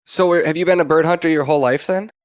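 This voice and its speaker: A man hosting a podcast, asking short, relaxed interview questions. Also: a little high, fast talker, no room sound, studio quality. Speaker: podcast host.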